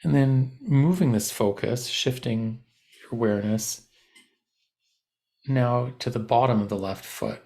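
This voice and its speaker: A man guiding a body scan meditation in a gentle, unhurried, instructive way with long pauses. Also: mid-range voice. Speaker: meditation teacher.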